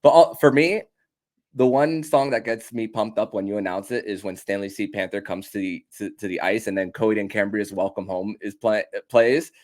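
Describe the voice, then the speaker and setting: A man's voice: fairly low pitch, steady tone, normal speed, darkish tone. Rambling man hosting a podcast. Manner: rambling, long questions full of restarts and repeated words. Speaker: podcast host. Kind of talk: casual podcast interview.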